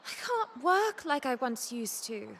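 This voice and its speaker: A woman playing a haunted, broken craftsman's daughter in a video game, dramatic, anguished and halting. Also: high-pitched, big pitch swings, fairly bright tone, slight background noise, full character voice. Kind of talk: game character dialogue.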